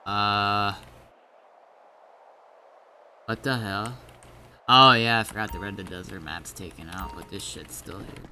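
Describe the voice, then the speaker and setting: A man's voice: lowish voice, fairly even intonation, normal speed, sharp tone, faint music behind. A man giving casual gameplay commentary as a streamer, muttering to himself as he thinks aloud. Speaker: gaming streamer.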